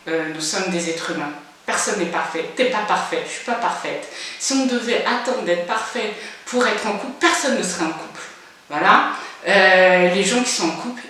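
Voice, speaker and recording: A woman's voice: medium pitch, a bit bright, very echoey, recorded from a distance. A woman in her forties talking frankly to camera in her first vlog, hesitant with lots of filler sounds but blunt. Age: 40s. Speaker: vlogger. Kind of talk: casual personal monologue.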